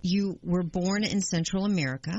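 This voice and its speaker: A woman hosting a gardening radio show, asking her guest questions in a friendly, halting way with many fillers. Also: on the low side, darkish tone, no room sound, dull audio. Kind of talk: radio interview.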